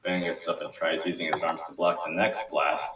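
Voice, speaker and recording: Deep-voiced man narrating in a roomy space. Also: little pitch movement, a little fast, fairly bright tone. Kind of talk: amateur scripted narration at table read. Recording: roomy, distant mic with faint noise.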